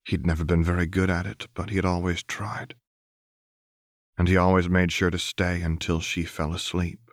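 A man reading fiction aloud as an audiobook narrator, in a measured, subdued way into a close mic. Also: low voice, flat delivery, no room sound.

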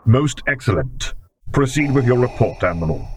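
A man voicing a menacing dark armored lord in an audiobook, with a low growling rumble and slow, clipped, imperious delivery. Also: animated, lightly acted. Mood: commanding. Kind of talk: audiobook character voice.

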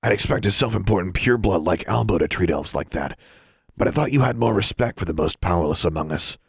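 A man playing a kindly, folksy older groundskeeper who scolds gently. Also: low-pitched, animated, fast, creaky, breathy edge, dry recording, clean studio sound, heavily acted. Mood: gently scolding.

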